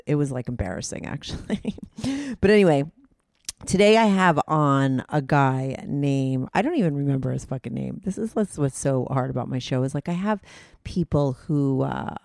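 Brief: New Jersey woman, about fifty, podcast host; gravelly, chatty, swears a lot, dry close mic. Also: low voice, a little mellow, no echo. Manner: chatty, swears freely, laughs at herself. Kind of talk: casual rambling podcast intro.

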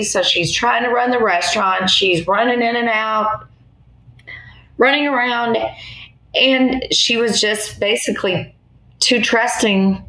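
Slow-paced American woman, roomy sound. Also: mid-range voice, fairly bright tone. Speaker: attorney being interviewed. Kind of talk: conversational interview answers.

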